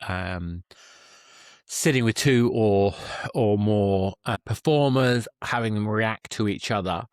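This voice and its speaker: A man with a fairly low voice hosts a conversational interview. He talks in a halting way, often rephrasing a thought midway. The sound is dry, with no room echo. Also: smooth. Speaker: podcast host interviewer.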